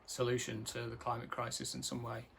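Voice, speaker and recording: A male filmmaker speaking earnestly and thoughtfully about his work, pausing often with fillers. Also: medium pitch, monotone, vocal fry, a bit bright, a little hiss or hum. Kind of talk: casual film introduction.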